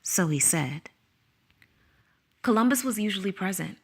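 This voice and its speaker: An actress playing a young woman schoolteacher who narrates her inner conflict in a soft, reflective way. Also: medium pitch, animated, unhurried, breathy edge. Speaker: narrator and lead character. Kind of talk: audio drama acting.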